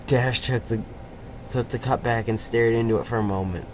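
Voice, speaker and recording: Man, medium pitch, informal amateur story narration, stumbling reader, clearly noisy recording. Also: fairly even intonation, moderate pace. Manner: informal, stumbling over words. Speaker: fan fiction reader.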